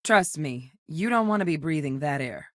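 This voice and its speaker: A seasoned woman scientist and soldier for a video game. Her voice sits on the low side and is recorded close and dry, with no room sound. She speaks in a measured, matter-of-fact way, guarded yet earnest and determined. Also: lively intonation, a bit bright, no echo. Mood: serious, measured. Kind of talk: video game character dialogue.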